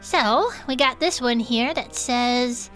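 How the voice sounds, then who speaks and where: A woman's voice: a little high, slow pace, fairly bright tone, faint music behind. A woman playing a cheerful, patient cryptid club archivist in chatty, improvised comedic audio fiction. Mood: storytelling. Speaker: podcast co-host.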